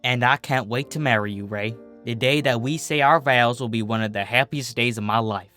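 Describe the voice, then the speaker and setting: A man voicing a steady, affectionate, teasing female farmer pony. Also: medium pitch, normal speed, soft background music, natural voice. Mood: tender.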